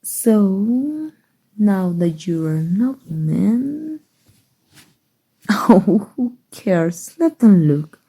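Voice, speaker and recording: A woman playing a kind, playful young princess in love, regally confident, with soft, teasing, courtly phrasing in a romantic ASMR roleplay. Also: mid-range voice, sing-song, drawn-out words, long pauses, husky, heavily acted, poor audio. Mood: affectionate, conspiratorial. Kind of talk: romantic ASMR roleplay, fairy-tale storybook acting.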